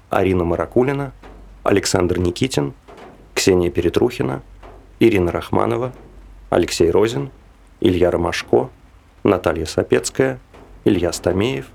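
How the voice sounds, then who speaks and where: A man with a gravelly, husky voice reading scripted credits as an announcer. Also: low-pitched, darkish tone.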